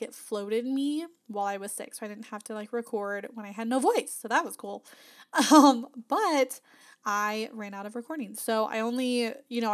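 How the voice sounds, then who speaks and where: A woman hosting a casual solo podcast, chatty and upbeat, her voice still slightly nasal and not fully back after an illness. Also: a little high. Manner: chatty, upbeat, frequent laughs and fillers. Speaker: podcast host.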